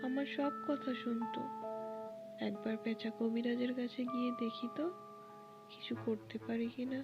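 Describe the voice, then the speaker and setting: A woman's voice: fairly high pitch, steady tone, moderate pace, muffled recording, natural voice. A woman voicing a worried, tender mother bird in a cartoon, with anxious motherly questioning. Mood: hurt. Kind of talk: cartoon storytelling dialogue. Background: music.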